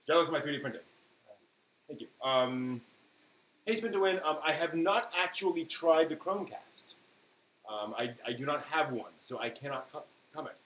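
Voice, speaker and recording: A man hosting a casual live stream, replying to viewers in a halting way that often trails off mid-thought. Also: a little high, animated, a bit bright. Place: indoor room at home with printer running. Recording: roomy echo, faint buzzing 3D printer noise. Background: faint buzz of a 3D printer.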